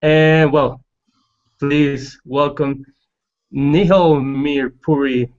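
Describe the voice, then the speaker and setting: A man's voice: a little high, steady tone, extremely slow. A man hosting an online talk show, politely welcoming guests and stacking several questions in a row. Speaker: host. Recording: muffled lo-fi call audio.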